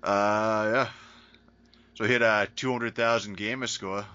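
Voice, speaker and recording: A man's voice: fairly low pitch, fairly even intonation, measured pace, sharp tone, smooth, no room sound. A man co-hosting a podcast, talking in loose, rambling banter and swearing constantly. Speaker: podcast co-host. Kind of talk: casual crude banter. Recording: slightly dull lo-fi sound.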